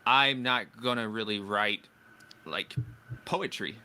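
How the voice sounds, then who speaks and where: A man hosting an interview, talking in a relaxed and agreeable way. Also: lowish voice, slow pace, background noise. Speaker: host. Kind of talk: casual interview conversation.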